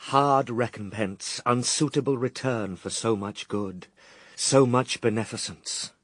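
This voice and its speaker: A man as slow, grave Son of God. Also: mid-range voice, dry recording, lightly acted. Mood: stern, exalted. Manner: measured, declamatory, morally firm. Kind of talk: solemn classical radio verse drama.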